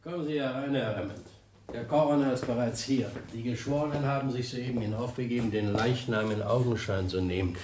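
Male actor doing big shrewd police detective, old german radio play, confiding and deliberate, normal male pitch. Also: a little mellow, a little hiss or hum, natural voice. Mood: confidential. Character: stately, well-fed New York detective, shrewd, confidential. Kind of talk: vintage German radio crime drama acting.

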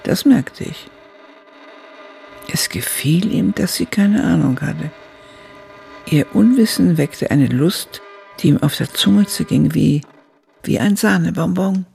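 An actress playing an elderly woman who reflectively recalls her youth, narrating a literary radio drama in a gravelly, raspy voice. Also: on the low side, animated, takes it slowly, pauses often, mellow, soft background music, heavily acted. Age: older.

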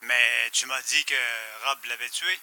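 A man acts a deferential henchman in an audio drama. His voice is mid-pitched and strikingly bright and sharp, with a hesitant, questioning manner. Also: fairly even intonation, measured pace, gravelly, heavily acted. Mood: uneasy.

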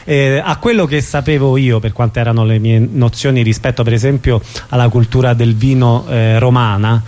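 A man with a medium-pitched voice hosts an informal radio interview. He speaks haltingly, searching for his words as he goes. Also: steady tone, a little mellow.

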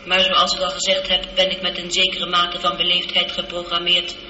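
A woman's voice: lowish voice, monotone, bright, forceful tone. Echoing, polite female ship's computer. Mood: gently matter-of-fact. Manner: measured, formal, precise delivery. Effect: reverberant computer voice effect. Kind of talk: science fiction radio drama acting. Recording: echoey, dull, lightly noisy radio recording.

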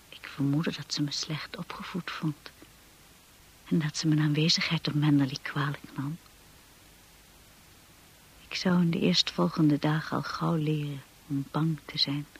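An actress playing a timid, anxious young bride in a radio play, narrating and speaking in a hushed, hesitant way. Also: female voice, sits low, heavily acted, poor audio. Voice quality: breathy, husky.

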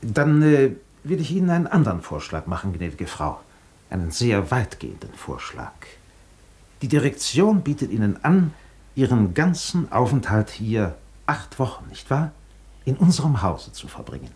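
An actor playing an obsequious, anxious hotel director in a German radio drama, stiffly courteous and deferential. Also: male voice, mid-range voice, wildly swooping pitch, moderate pace, darkish tone. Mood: pleading, anxious. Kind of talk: German radio play acting.

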